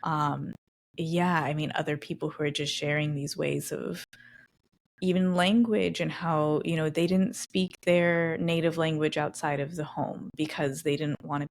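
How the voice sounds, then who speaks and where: Low, gravelly-voiced woman podcast host. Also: steady tone, unhurried. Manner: reflective, thinking aloud with many restarts. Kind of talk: conversational interview.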